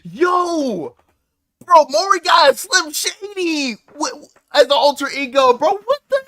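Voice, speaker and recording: A man hosting a live reaction stream, talking casually in slang and breaking into excited outbursts. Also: extremely high pitch, slow pace.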